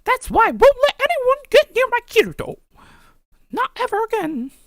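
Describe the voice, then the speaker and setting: A man's voice: extremely high pitch, huge pitch swings, moderate pace, gravelly, fairly bright tone, no echo, clean studio sound, voice pushed up, heavily acted. A man voicing a possessive yandere anime teenage girl for a visual novel, sweet and clingy yet obsessive. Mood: possessive, menacing. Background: background music.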